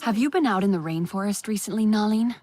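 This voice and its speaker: An actress playing a young Na'vi woman in a video game, a wary and curious investigator with a measured, questioning manner. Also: medium pitch, warm tone, dry recording, close to an everyday voice. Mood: probing. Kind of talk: video game dialogue acting.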